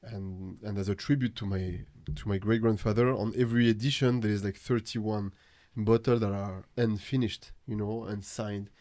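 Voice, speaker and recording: A man with a French Tunisian accent talks casually as a podcast guest, his voice low and warm. He circles back and restarts often, leaning on "you know". The sound is dry and close. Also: steady tone, normal speed, few pauses, no room sound. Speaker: guest artist.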